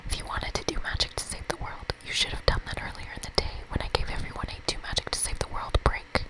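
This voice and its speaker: A woman's voice: fast talker, in a whisper, close to an everyday voice, low-quality recording. A woman voicing a strict, scolding older female camp director in a game, officious and stern.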